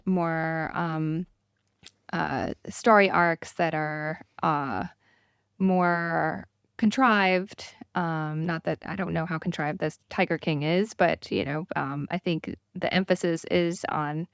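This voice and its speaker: Soft-spoken woman interview guest, thoughtful. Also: fairly low pitch, clear, resonant tone, no room sound, studio quality. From Thailand. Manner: thoughtful, hesitant with many ums. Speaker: documentary filmmaker guest. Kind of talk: casual interview talk.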